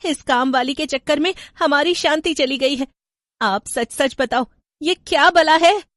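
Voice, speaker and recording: A woman's voice: high voice, measured pace, a bit bright, dry recording, studio quality, pitched above the performer's own voice. A woman voicing a young daughter-in-law, urgent and dramatic, worried yet defiant, in a horror story. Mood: worried, insistent.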